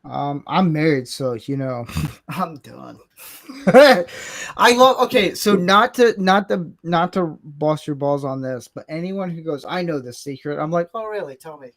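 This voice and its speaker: Male livestream host, late 30s, slightly high voice, loose bantering conversational delivery. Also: expressive, a little slow, fairly bright tone. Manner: informal, bantering, occasionally swearing. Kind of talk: casual livestream chat.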